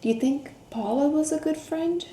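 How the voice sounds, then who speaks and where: A woman reading a picture book aloud to children, expressive, with questions, gasps, hums and hushed asides. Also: sits high, normal speed. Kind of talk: interactive children's read-aloud with questions to young listeners. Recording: roomy echo, faint background noise.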